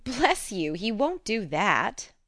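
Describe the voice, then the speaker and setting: A woman voicing a spirited, sensible teenage girl in an audiobook, brisk and coaxing. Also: medium pitch, sing-song, fairly bright tone, dry recording, clean studio sound, pitched above the performer's own voice, exaggerated voice. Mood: soothing, coaxing. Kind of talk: audiobook character reading.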